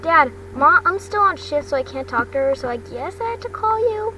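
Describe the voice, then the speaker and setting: A woman's voice: sits high, sing-song, moderate pace, pushed and bright, voice pushed up, exaggerated voice. A woman voicing a polite, uneasy teenage schoolboy in a story, earnest and hesitant. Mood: uneasy, urgent. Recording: distant mic, noisy background hum.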